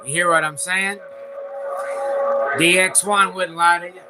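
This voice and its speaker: A man's voice: high-pitched, fairly even intonation, unhurried. An American man casually showing off gear and making CB radio calls, with a slangy, folksy, enthusiastic manner. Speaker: CB radio hobbyist, live streamer. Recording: noisy room with radio static.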